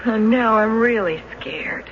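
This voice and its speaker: An actress playing an anxious, earnest wife in a suspense radio drama. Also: female voice, a little high, slow. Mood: nervous, questioning. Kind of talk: old-time radio drama acting. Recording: muffled noisy radio drama recording.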